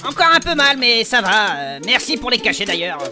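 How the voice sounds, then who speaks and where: A woman's voice: a little high, sing-song, a little fast, bright, forceful tone, faint music behind, heavily acted. A woman voicing a slangy, sheepish young male adventurer in a comic fantasy audio drama, casual and evasive.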